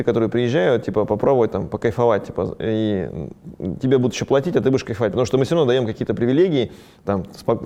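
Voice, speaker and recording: A man talks rapidly and casually, as though answering an interviewer's questions. His voice is dark and mellow, and his speech is full of small fillers and restarts. He sounds like a business owner describing his own operation. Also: medium pitch. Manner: fast, conversational, many fillers. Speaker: ski resort business owner.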